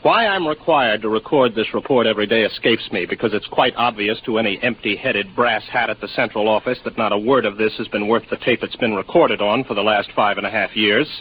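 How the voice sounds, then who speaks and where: A man's voice: on the low side, fairly quick, few pauses, a bit bright, lightly acted. An actor playing a weary, lonely young spaceman, wry and rambling, in a 1950s radio drama. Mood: wry, musing. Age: 20s. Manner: wry, musing, sardonic. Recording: noisy muffled 1950s radio recording.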